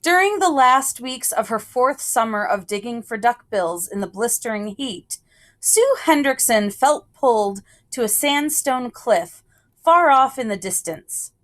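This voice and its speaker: A woman reading a children's picture book aloud in a storytelling style, with measured phrasing and deliberate pauses. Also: on the high side, animated, a bit bright.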